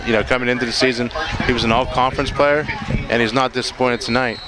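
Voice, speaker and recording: A man doing relaxed live play-by-play of a high school football game, joking and filling pauses with lots of "uh"s and restarts. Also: poor audio. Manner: easygoing, joking, many fillers and restarts. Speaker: play-by-play commentator. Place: outdoor football stadium. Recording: loud crowd and stadium noise. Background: crowd noise.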